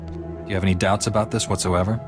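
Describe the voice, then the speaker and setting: An actor playing a confident, direct and helpful knightly hero in a sci-fi video game. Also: male voice, deep voice, steady tone, quick pace, music in the background, close to an everyday voice. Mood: resolute. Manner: direct, resolute. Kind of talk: video game dialogue acting.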